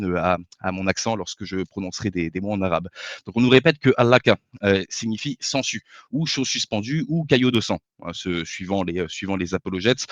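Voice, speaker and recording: A man's voice: lowish voice, dry recording. A man giving a formal debate opening statement, laying out his points methodically but hesitating often with fillers. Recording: dull dry lo-fi voice chat mic.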